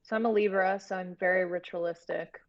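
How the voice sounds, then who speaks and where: An American woman from Florida talking casually and candidly as an interview guest, in a halting storytelling way. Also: on the low side, steady tone. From Florida, United States. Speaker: guest, horror series producer. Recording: dull, lo-fi audio.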